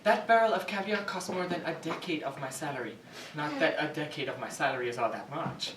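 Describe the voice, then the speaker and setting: A woman's voice: low voice, a little fast, natural voice. A woman playing an exasperated, sarcastic male hotel manager with a Spanish accent in a staged cartoon reading. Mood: resentful. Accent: Spanish, put on for the role. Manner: aggrieved, sarcastic complaining. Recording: echoey room, distant mic, faint noise.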